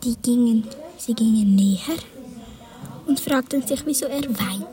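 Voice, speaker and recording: A woman's voice: on the high side, unhurried, warm tone. A woman whispering a children's story, adding wandering asides.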